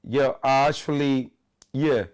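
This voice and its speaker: A man's voice: mid-range voice, steady tone, slow pace, darkish tone, clean tone. A young Nigerian man, a musician guest, talking loosely in a casual interview and often saying "you know what I mean".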